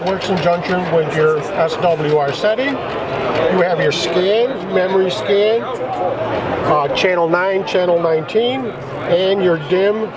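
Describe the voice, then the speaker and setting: A man's voice: sits high, muffled recording. A man from a radio company giving a step-by-step product demonstration on a busy trade show floor, explaining settings with some restarts. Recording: loud crowd and noise. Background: loud crowd chatter.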